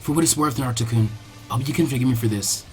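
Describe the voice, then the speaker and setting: A soft, formal young ninja woman acted by a man, speaking in a subdued low tone. She is resolute and coldly devoted to her master, in a storybook reading with music behind. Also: very fast, natural voice. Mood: quietly resolute.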